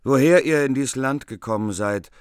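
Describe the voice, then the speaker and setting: An actor playing a polite courtly lord, formal and deliberate, in a German radio drama. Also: male voice, mid-range voice, clean tone, no echo, studio quality, lightly acted. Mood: politely probing. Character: polite courtly lord questioning a noble visitor.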